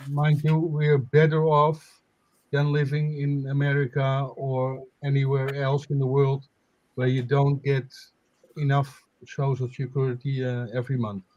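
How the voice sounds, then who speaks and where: A man's voice: mid-range voice, monotone, darkish tone, muffled recording. Hesitant man in his sixties. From Netherlands. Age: 60s. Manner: hesitant, many filler sounds and pauses. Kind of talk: casual group chat on a video call.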